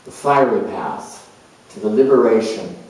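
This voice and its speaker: A man playing an earnest, self-assured spiritual coach in a dramatized monologue, reflective and halting, with deliberate pauses. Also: lowish voice, a little mellow, lightly acted. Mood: solemn, measured. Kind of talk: dramatized monologue as if answering an interview. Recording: distant mic in very echoey room.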